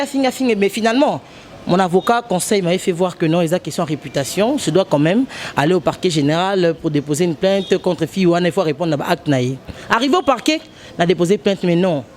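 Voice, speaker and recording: A woman journalist with a Congolese French accent, giving an emphatic, halting interview and switching between French and Lingala. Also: lowish voice, darkish tone, a little hiss or hum. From DR Congo, Kongo Central. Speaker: journalist guest.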